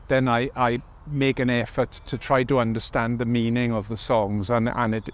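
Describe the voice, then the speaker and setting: A man who grew up in Wales, a choir member, speaking earnestly and carefully with small repetitions. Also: medium pitch. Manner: earnest, careful, with repeated words. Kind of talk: interview and leading a pronunciation drill.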